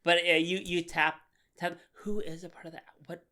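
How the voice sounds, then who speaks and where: Bright, smooth-voiced chatty podcast host. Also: medium pitch, flat delivery. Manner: quick encouraging backchannels, chatty. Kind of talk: casual chat.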